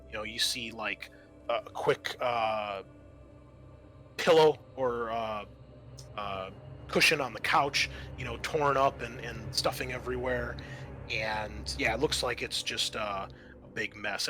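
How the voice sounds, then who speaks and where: A man running a tabletop game, describing scenes in a halting, conversational way. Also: mid-range voice, sharp tone, a little hiss or hum. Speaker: game master. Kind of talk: improvised tabletop game narration.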